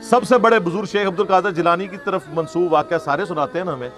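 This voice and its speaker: A man's voice: high voice, quick pace, fairly bright tone. A Pakistani man giving an informal religious lecture, arguing in a sarcastic, conversational way with rhetorical questions. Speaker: Islamic preacher and lecturer. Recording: music playing behind the voice. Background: music.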